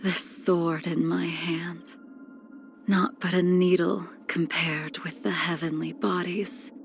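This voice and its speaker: A woman playing a cold, ageless, melancholy swordswoman in a video game, speaking in formal, solemn phrases that trail into breathy near-whispers. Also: on the low side, level tone, slow, soft background music. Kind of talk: scripted video game character lines.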